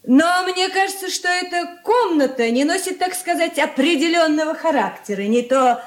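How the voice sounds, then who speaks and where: A woman's voice: very high-pitched, expressive, moderate pace. An actress playing an effusive, genteel middle-aged mother of the bride in a stage-style radio drama, with an affected, gushing manner. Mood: bossy, affable. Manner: gushing, affected, hesitant filler words. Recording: roomy studio echo.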